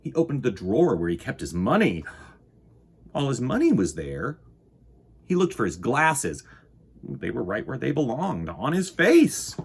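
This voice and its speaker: A man hosting a children's storytime, reading a picture book aloud in an expressive, theatrical and playful way. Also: medium pitch, unhurried, gravelly, slightly rough. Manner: expressive, dramatic, playful with sound words.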